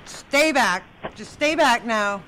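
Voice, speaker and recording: Pushy cop barking orders, lively up and down voice, faint noise behind actor doing it. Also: mid-range voice, takes it slowly, low-quality recording. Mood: commanding. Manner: commanding, impatient. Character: pushy police officer questioning vagrants.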